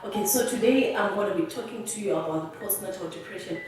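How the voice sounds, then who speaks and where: A young woman from Zimbabwe with a Zimbabwean accent, telling her personal story in a halting, earnest way that often restarts words. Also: medium pitch, music in the background. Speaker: interviewee. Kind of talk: personal testimony. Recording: very echoey room, voice from a distance.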